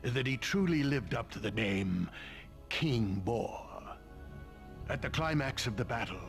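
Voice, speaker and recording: A man playing a vain, scornful trickster prince who narrates theatrically, pompous and sardonic, with dramatic pauses. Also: medium pitch, animated. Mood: grand, ominous. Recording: music behind the voice.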